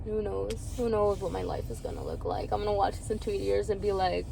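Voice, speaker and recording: A woman's voice: mid-range voice, expressive, a little fast, gravelly, noisy background. A woman in her early twenties talking candidly about her feelings in a rambling personal vlog, laughing now and then. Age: 20s. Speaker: vlogger.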